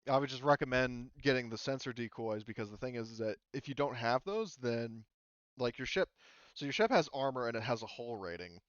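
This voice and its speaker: A man running a tabletop roleplaying game, casually explaining rules in a halting, rambling, self-interrupting way. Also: mid-range voice, expressive, clear, resonant tone, no room sound, muffled recording. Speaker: game master.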